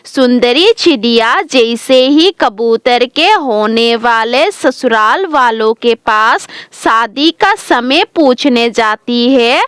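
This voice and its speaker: Female narrator for a children's animated tale: high, smooth voice, very slow scripted read, dry sound. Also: no room sound.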